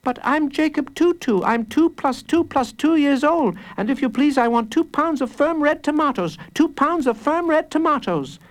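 A man's voice: very high voice, lively intonation, moderate pace, few pauses, voice pushed up. A man voicing a small, anxious, insistent six-year-old boy in a storybook, earnestly saying things twice.

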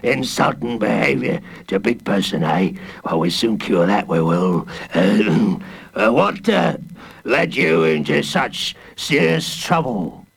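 A man's voice: very animated, slow pace, creaky, slightly rough, exaggerated voice. A man voicing a blustering fanged villain who was once a wrestler and now runs a prison, with growls and stammers. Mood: gruff, suspicious. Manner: growling, stammering with ums, bursts of booming laughter. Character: blustering hooded wrestler villain warden with fangs.

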